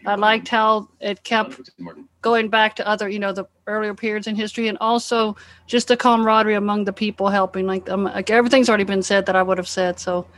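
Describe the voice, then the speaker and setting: Female book club member, medium pitch, flat and casual delivery. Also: quick pace, poor audio.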